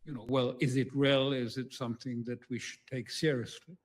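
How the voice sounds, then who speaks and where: A man's voice: mid-range voice, fairly even intonation, moderate pace, a crowd in the background. A man speaking in a political interview, in a conversational way.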